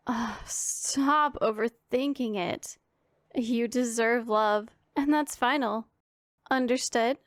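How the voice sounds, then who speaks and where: A powerful demoness acted by a woman in an intimate ASMR roleplay, with a fairly high voice and slow, deliberate delivery. She is imperious and formal, giving orders with a caring streak underneath. The sound is close and dry. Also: dry recording. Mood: approving, firm. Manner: authoritative, formal, imperious diction. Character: stern, commanding yet secretly caring powerful female demon. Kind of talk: scripted ASMR roleplay addressed to the listener.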